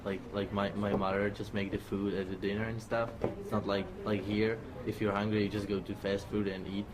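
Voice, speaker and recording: A teenage boy from the Czech Republic talks with a Czech accent, halting and full of filler words. His voice is low and flat. Background noise sits behind him. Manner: halting, repeats "like". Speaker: exchange student.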